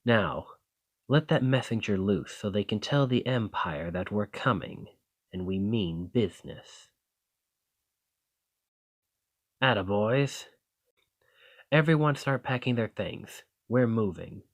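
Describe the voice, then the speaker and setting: A man's voice: lowish voice, animated, unhurried. A man playing a roguish bandit leader in an audio roleplay, confident and wry, with a folksy, teasing, drawling manner. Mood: rallying, defiant. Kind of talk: scripted roleplay acting addressed to the listener.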